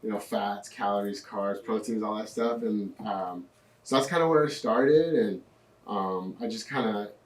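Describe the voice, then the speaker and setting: A young man in his twenties, a podcast guest telling his story in a relaxed way with lots of fillers. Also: fairly low pitch, measured pace, recorded from a distance. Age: 20s. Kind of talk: casual interview. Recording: echoey room, off-mic.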